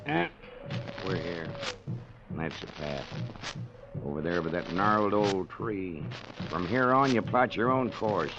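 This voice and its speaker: A man's voice: low-pitched, slow pace, pauses often. A man playing a wary, folksy tourist guide in a 1940s radio drama, speaking tersely and offhand. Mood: dry, wary. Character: laconic, wary local cart-driver guide. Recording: dull, noisy vintage radio recording.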